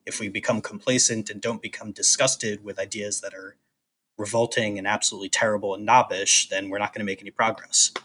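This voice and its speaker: A man's voice: lowish voice, little pitch movement, brisk, bright, forceful tone, a little hiss or hum, poor audio. A man co-hosting a podcast in casual chat, opinionated and emphatic in how he puts things. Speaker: podcast co-host.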